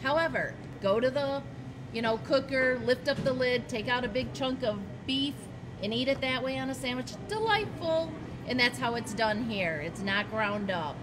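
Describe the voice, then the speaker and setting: Middle-aged woman guest, somewhat high voice, emphatic storyteller, noisy shop behind. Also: expressive, moderate pace. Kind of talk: casual chat. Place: farm market shop, indoors.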